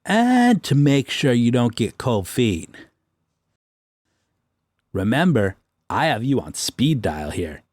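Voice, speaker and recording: A man's voice: mid-range voice, big pitch swings, slow pace, pauses often, darkish tone, no room sound, clean studio sound. An actor playing a pompous, taunting male superhero in a comic audio drama, with a theatrical, mocking delivery. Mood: smug, challenging. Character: pompous, taunting male superhero sergeant, electric-powered, gloating.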